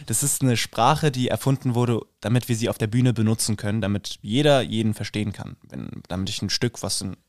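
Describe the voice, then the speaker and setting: A young man from Rüsselsheim with a Hessian accent, a drama student chatting casually as a guest, relaxed, laughing often and filling pauses with "ähm". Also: fairly low pitch, no echo, studio quality. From Rüsselsheim, Germany. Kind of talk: casual interview chat.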